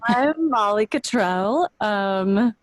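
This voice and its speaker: A woman's voice: mid-range voice, animated, very slow, heavy background noise. A woman in her thirties from Washington State, a musician and podcast co-host chatting playfully. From Washington State, USA. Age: 30s. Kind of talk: casual podcast chat.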